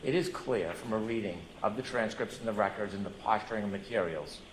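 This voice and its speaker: A man's voice: on the low side, a little fast. A male prosecutor making a courtroom argument, recorded in an echoey room with background noise.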